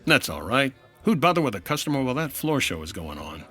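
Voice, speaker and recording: A man's voice: mid-range voice, big pitch swings, fast, pushed and bright, faint music behind. A man playing a dry, businesslike insurance investigator in old-time radio detective style, clipped, wry and matter-of-fact. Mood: wry, casual. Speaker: actor in a comedy audio drama.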